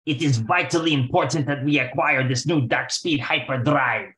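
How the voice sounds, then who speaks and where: A man's voice: mid-range voice, moderate pace, a bit bright, natural voice. A man playing a formal, stiff alien villain in a radio spoof, in an echoey recording. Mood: businesslike, menacing. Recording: roomy, echoing sound.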